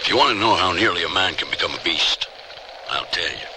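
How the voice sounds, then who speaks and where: Behind some noise comes a brooding frontier outlaw in a radio drama, extremely low and gravelly. He is bitter and regretful, speaking slowly and haltingly, with big swings in his pitch. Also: expressive. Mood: bitter, wistful. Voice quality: very deep, gravelly. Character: notorious male desperado, rugged mountain guide, bitter and regretful.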